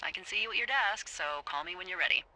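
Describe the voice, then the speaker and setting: An actress playing a wry, bossy, foul-mouthed fire lookout supervisor in a video game, teasing and casual over a handheld radio. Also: female voice, fairly low pitch, expressive, quick pace, bright, forceful tone, a little hiss or hum, low-quality recording. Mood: breezy, friendly. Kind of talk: naturalistic video game dialogue.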